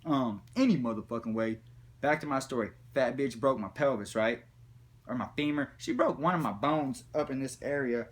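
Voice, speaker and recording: A man telling a comic personal story in a rambling, slangy, profane way with animated retelling. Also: mid-range voice, moderate pace. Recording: background noise, room ambience.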